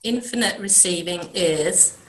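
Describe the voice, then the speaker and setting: A middle-aged woman from Denmark, a guest in a video interview, speaking softly and reflectively and often trailing off quietly. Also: fairly low pitch, a little slow. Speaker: guest, creative mentor. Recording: echoey room sound.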